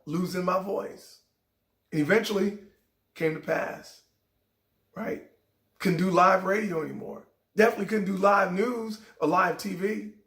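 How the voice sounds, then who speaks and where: A middle-aged man hosting a show, telling a heartfelt personal story in a raspy voice that sometimes cracks. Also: high-pitched, a bit bright, echoey room. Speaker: show host. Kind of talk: inspirational personal talk.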